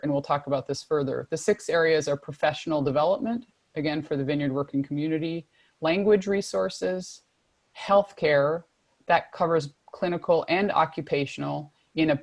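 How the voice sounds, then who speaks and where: A woman leads a panel over a video call, listing points in an organized, directive way. Her voice is low, and the sound is dry and close. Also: darkish tone, no echo. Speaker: panel moderator. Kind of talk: presentation with slides.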